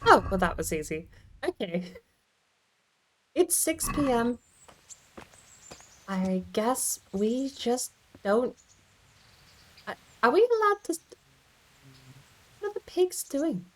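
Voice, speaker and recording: A woman's voice: fairly high pitch, sing-song, takes it slowly, long pauses. A woman streaming a game from home, rambling and thinking aloud as she plays. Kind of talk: casual gameplay commentary.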